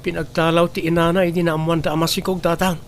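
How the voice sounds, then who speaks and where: A man's voice: on the high side, little pitch movement, fast talker, natural voice. A man playing an earnest young boyfriend in an audio drama, with an Ilocano accent and a respectful manner. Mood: apologetic, respectful.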